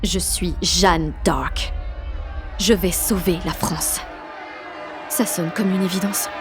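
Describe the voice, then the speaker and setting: A woman playing a brash, excitable sixteen-year-old girl with grandiose ideas, narrating an audio drama in slangy, dramatic first person. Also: lowish voice, slow. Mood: tender, solemn. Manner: slangy, cocky, dramatic teenage delivery. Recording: music and street crowd behind the voice.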